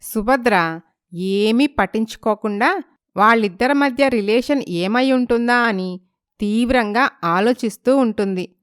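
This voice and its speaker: A woman's voice: on the high side, measured pace, clean tone, no echo, clean studio sound. A woman reading a scripted dramatic story as its narrator.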